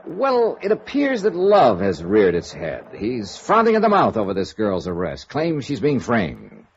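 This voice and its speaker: An actor playing a cool, world-weary male insurance investigator in 1950s radio noir style, with a dry, probing, measured delivery. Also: lowish voice, huge pitch swings, breathy edge, full character voice. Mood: businesslike. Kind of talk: 1950s radio noir acting and first-person narration. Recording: dull vintage radio recording with music.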